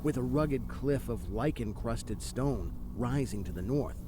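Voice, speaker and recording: An actor playing a male writer who narrates his own nightmare in a visual novel, in measured, solemn storytelling. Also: medium pitch, lively intonation, background noise, lightly acted. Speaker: game narrator. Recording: loud game ambience behind the voice. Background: loud ambient game sound.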